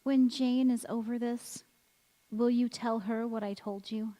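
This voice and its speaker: A woman playing a strange, self-possessed young woman in a radio drama, speaking earnestly and deliberately. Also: fairly high pitch, steady tone, dark tone, lightly acted. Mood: wistful. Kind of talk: stage radio drama acting. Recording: echoey church hall.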